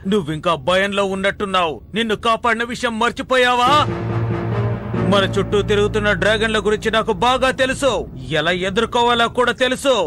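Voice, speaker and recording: A man's voice: high voice, brisk, over music, close to an everyday voice. A man playing a proud, resentful young dragon racer in a dramatic cartoon dub, boastful and defiant. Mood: confident, scheming.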